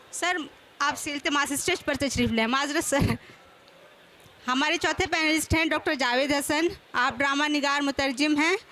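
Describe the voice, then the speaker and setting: High-pitched woman announcing at a formal event. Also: pushed and bright. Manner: formal, courteous, occasional stumbles while reading. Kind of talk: formal scripted introductions. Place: public seminar with a stage. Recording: clear background noise, event ambience.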